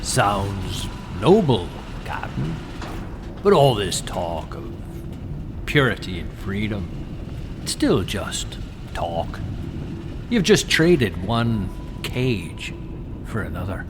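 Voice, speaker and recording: A man's voice: fairly low pitch, big pitch swings, slow, pauses often, noisy background. An actor playing a brash, restless whaler in an audio drama, blunt, mocking and challenging in his delivery. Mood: sceptical.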